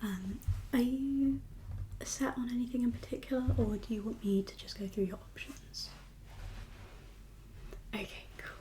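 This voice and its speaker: Lady whispering asmr doctor roleplay, slow n gentle, mellow soft tone, bit of background noise. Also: a little high, poor audio. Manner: gentle, unhurried, reassuring professional. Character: kindly doctor for undead patients. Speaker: ASMR performer playing a doctor.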